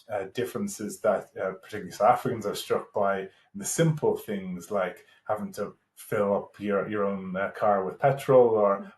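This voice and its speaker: A man with an Irish accent hosting a casual interview, asking questions in a hesitant, halting way. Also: lowish voice, a little mellow. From Ireland. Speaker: interviewer, host. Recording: echoey room sound.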